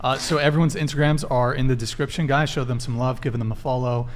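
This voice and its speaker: A man hosts a casual panel talk show in an ordinary mid-pitched voice. He moves through short questions and often repeats answers back as he follows up. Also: steady tone, quick pace.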